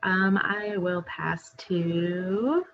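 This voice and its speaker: A woman with a lowish voice introduces herself on a group video call, soft-spoken and pausing on ums. The sound is dull and lo-fi, with faint background noise. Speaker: helpline volunteer.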